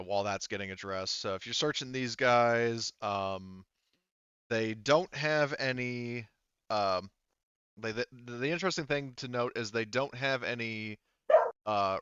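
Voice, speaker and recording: A man's voice: mid-range voice, sharp tone, clean tone, highs cut off. A man narrating casually and with some swearing as game master of a tabletop roleplaying game.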